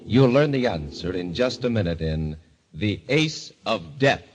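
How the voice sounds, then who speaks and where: A low, slow, portentous male horror host from 1940s radio. He announces tales of terror with grand, ominous drama over loud music on a vintage broadcast. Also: expressive.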